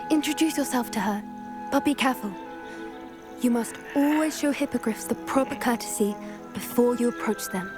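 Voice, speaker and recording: A woman playing an earnest, secretive teenage schoolgirl who loves magical beasts in a video game, with a gentle manner and a British accent. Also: high-pitched. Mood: fond, proud. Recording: game music underneath. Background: game music.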